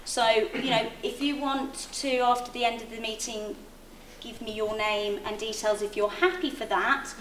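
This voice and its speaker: A woman's voice: on the high side, fairly even intonation, moderate pace, a bit bright. A woman on a meeting panel answering a complaint in a conciliatory, hesitant way, with frequent ums. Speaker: health service official on a meeting panel. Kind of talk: measured panel response. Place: public meeting hall. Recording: echoey hall, distant mic, faint noise.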